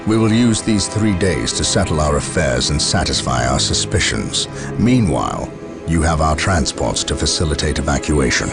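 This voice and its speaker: A stern space marine captain with a very low, gravelly voice. He is formal and commanding, with dramatic swings of emphasis, as in a video game cutscene. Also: expressive, moderate pace, over music. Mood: firm, stern. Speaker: military captain.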